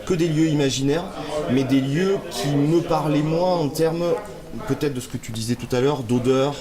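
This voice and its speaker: A man's voice: fairly high pitch, moderate pace, a crowd in the background. A man, an author, answering interview questions in a thoughtful, hesitant way with many fillers, a little stuffy from a cold. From France. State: slightly congested with a cold. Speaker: author guest. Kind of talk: relaxed interview conversation.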